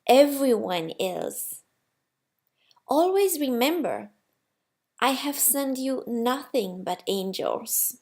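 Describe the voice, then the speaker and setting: Woman as a serene, unhurried loving God. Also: high-pitched, animated, darkish tone, full character voice. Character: God, serene, loving, all-knowing and reassuring.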